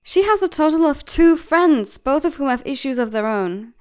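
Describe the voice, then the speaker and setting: A woman acts out a female bird doctor with a somewhat high voice. She is outspoken and protective, arguing insistently in articulate, reproachful phrases. The delivery is dramatic comic fandub acting. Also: animated, normal speed, a little mellow. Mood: exasperated.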